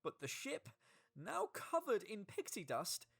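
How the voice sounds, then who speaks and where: A woman reading a children's storybook aloud in an expressive, dramatic way. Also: medium pitch, measured pace, vocal fry, breathy edge. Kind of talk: scripted children's storybook narration. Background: music.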